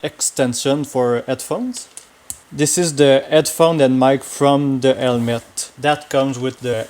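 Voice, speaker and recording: A man with a French accent speaking halting non-native English, casually demonstrating gear as a vlogger. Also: mid-range voice, darkish tone. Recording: clearly noisy amateur recording with clicks.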